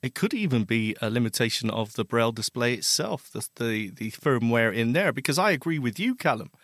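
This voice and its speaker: A man co-hosts a casual tech podcast in an easygoing, agreeable way. His voice sits at a middle pitch and comes across dry and close to the mic. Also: expressive, a little fast, a bit bright, no echo. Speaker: podcast co-host.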